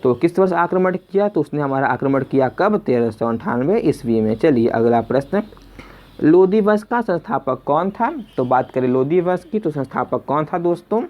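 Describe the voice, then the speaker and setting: A man's voice: fairly high pitch, lively intonation, normal speed. A man presenting a spoken exam quiz lesson, conversationally repeating each question before giving the answer. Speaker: quiz teacher and video host. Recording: dull lo-fi voice recording.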